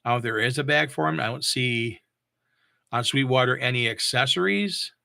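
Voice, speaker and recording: Guy chatting off the cuff about gear, bright forceful voice, keeps stopping and repeating himself. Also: mid-range voice, vocal fry. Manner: halting, repeats and thinks aloud while reading specs. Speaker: mobile DJ reviewing audio gear. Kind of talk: casual unscripted product talk.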